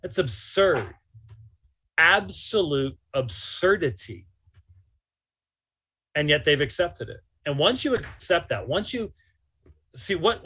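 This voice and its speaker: A man's voice: a little high, pushed and bright, breathy edge. A man in his fifties giving live religious commentary, emphatic and incredulous, repeating phrases for stress. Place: small motorhome interior.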